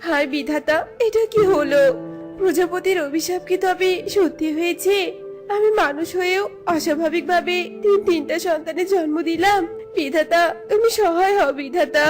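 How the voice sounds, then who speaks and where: A woman's voice: very high-pitched, moderate pace, soft background music. A woman voicing a distressed village mother in a cartoon fairy tale, plaintive, dramatic and lamenting. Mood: dismayed, praying.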